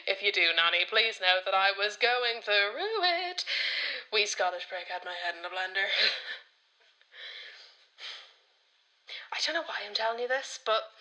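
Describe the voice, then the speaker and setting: A woman's voice: medium pitch, sharp tone, rough recording. A woman playing a cheeky, confessional young Irish granddaughter, rambling theatrically on a radio comedy-drama voicemail. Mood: wistful, confiding. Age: young adult.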